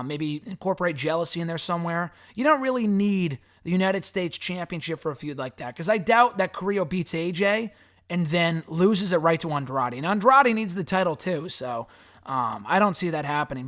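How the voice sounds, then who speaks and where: A man with a hoarse, raspy voice hosting a wrestling show, answering fan questions in a rambling, frank and casual way. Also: sits high, a bit bright. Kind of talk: casual solo commentary.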